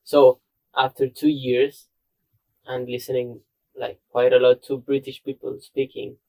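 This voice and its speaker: A man's voice: medium pitch, monotone, a little slow, creaky, darkish tone, poor audio. A man with a Spanish accent chatting casually, rambling and filling his speech with "like.". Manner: rambling, with lots of "like". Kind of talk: casual chat.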